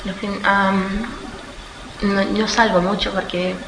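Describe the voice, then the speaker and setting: An eighteen-year-old woman from Australia answers interview questions informally, haltingly searching for words and correcting herself. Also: medium pitch, level tone. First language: Spanish. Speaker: student being interviewed. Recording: echoey room with clear background noise.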